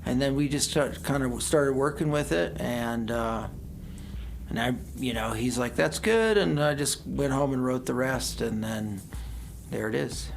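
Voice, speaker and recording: A man's voice: mid-range voice, unhurried, creaky, slight background noise. A man, a musician and songwriter, chatting casually and a bit hesitantly as an interview guest. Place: radio studio.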